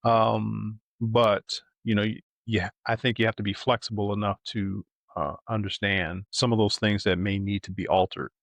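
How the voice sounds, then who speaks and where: A middle-aged man co-hosting a podcast in casual, reflective conversation, thoughtful and halting, often checking in with a questioning tag. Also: fairly low pitch, steady tone, gravelly, fairly bright tone, dry recording. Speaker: podcast co-host.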